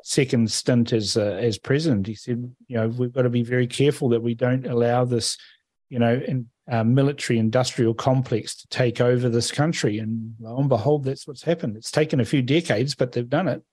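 A man's voice: medium pitch, fairly even intonation, fairly quick, darkish tone, dry recording. A man from Fiji speaking forthrightly on a political radio panel. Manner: forthright, assertive opinions.

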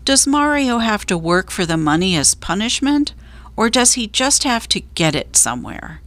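A woman voicing a no-nonsense male cat in a storybook, dry, direct and gently teasing. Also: fairly high pitch, very animated, moderate pace, dry recording, voice pushed up. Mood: probing.

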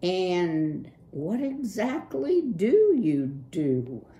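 A woman's voice: on the low side, very animated, extremely slow, warm tone, reverberant, a little hiss or hum, voice pushed up, heavily acted. A woman voicing a polite, naive young male country mouse who speaks formally and earnestly. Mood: earnest, puzzled.

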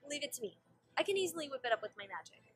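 A woman voicing a proud, stubborn young female pony royal in a fan animation, earnest and forceful. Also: high voice, sing-song, very fast, a little hiss or hum. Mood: indignant. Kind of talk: animated fan drama dialogue.

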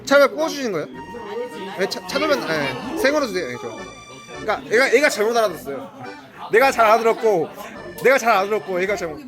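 Man, food vlogger, excitable, higher voice, loud music behind. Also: quick pace. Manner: excitable, exclaims often. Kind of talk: casual mukbang chat. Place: busy restaurant.

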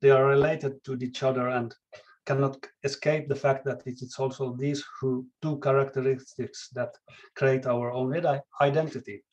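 A man from Finland with Swedish as his native language and a Finland-Swedish accent, a chef speaking as a guest in a podcast interview, measured and careful, sometimes searching for words. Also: mid-range voice, little pitch movement, dull audio.

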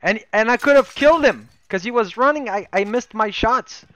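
A man's voice: high-pitched, normal speed, no echo. A man in his thirties whose first language is Polish, chatting casually in English and French while streaming a shooter game at home on a headset. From Poland. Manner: switches between French and English, excitable when calling out cheaters. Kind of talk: casual gaming commentary. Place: room at home, indoors.

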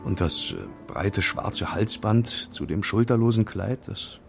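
An actor playing a remorseful, self-pitying young hacker in an audio drama, sheepish and expressive. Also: male voice, fairly low pitch, unhurried, creaky, faint music behind. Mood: earnest.

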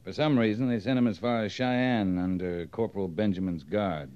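A man acts a stern American cavalry captain in an old radio western. His voice is low, with terse, dry, commanding lines. The recording is dull and dated. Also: normal speed, a little mellow, natural voice. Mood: matter-of-fact. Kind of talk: 1950s radio western acting.

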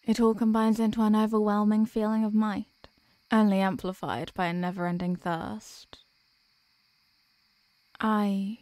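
A tender, ancient vampire girlfriend voiced by a woman in an ASMR roleplay. Her voice is mellow, soft and mid-pitched, with formal, caressing phrasing. She is soothing and protective, recorded close and dry. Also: no echo, close to an everyday voice. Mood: brooding, hushed, then tender, reassuring. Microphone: close microphone.

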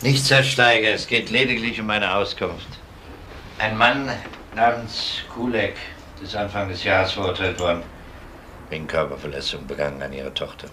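A police detective with a low voice, formal and measured as he probes. It is a crime radio play, with clear background noise on the recording. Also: sharp tone. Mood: formal, courteous. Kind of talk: German crime radio drama acting. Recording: noisy old radio drama recording.

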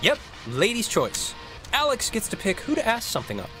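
Game role: goofy, chatty teen boy, high-pitched and animated, light music behind. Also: a little fast, exaggerated voice. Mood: teasing. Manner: playful, jokey, excitable. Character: chatty, goofy, eager teenage boy, cheeky joker. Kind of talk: naturalistic video game voice acting.

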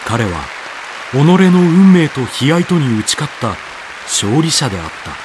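A man's voice: mid-range voice, very animated, unhurried, a crowd in the background, noisy background. A man narrating a radio drama from a script in a solemn, declamatory, dramatic manner. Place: studio voice-over with music behind.

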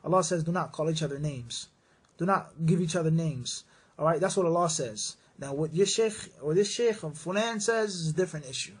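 Guy with a high raspy voice doing islamic q&a advice, stresses stuff by repeating it, drops arabic phrases in. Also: fairly quick. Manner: mixes in Arabic religious phrases. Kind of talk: religious advice, question and answer talk.

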